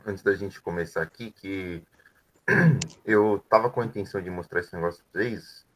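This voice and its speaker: A man's voice: deep voice, animated, moderate pace, a bit bright, a little hiss or hum. A man teaching French to adult students, explaining words patiently and checking in with frequent tag questions. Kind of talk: explaining grammar in an online class.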